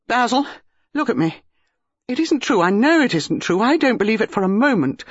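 A reader voicing an excitable, devoted young wife in a breathless, incredulous, pleading manner. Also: mid-range voice, moderate pace, no echo, highs cut off, pitched above the performer's own voice.

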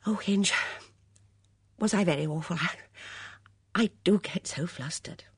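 A woman's voice: mid-range voice, huge pitch swings, moderate pace, a little mellow, slightly rough, no echo, pitched above the performer's own voice, exaggerated voice. A woman voicing a good-natured, vague, middle-aged lady in an audiobook, breathless, hesitant and rambling as she dithers. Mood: anxious.

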